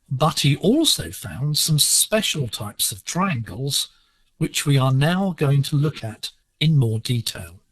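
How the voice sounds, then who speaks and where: Male narrator for educational radio: unhurried, instructive scripted delivery with animated pitch and a rough-edged, dry sound. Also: dry recording, low-quality recording. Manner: measured, didactic. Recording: digital shortwave radio broadcast, slightly rough.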